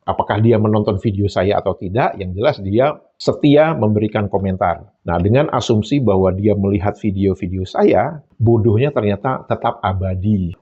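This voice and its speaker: A man delivers solo commentary in a low, husky voice, mocking and emphatic as he repeats points for effect. The recording sounds a little dull and lo-fi. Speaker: YouTube commentator.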